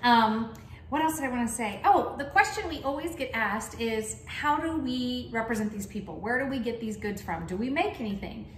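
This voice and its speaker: Enthusiastic Texas-accented woman, higher voice, folksy class host; roomy echo, light noise. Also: lively intonation, moderate pace. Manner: chatty and enthusiastic, folksy asides. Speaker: cheese shop founder teaching a tasting class. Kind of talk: casual tasting class. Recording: echoey room, faint background noise.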